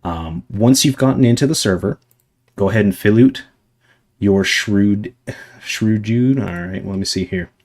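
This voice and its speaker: A young man chatting casually to his viewers on a livestream, rambling with lots of fillers and a voice going slightly hoarse from talking a long time. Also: fairly low pitch, unhurried, darkish tone. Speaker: livestreamer answering chat.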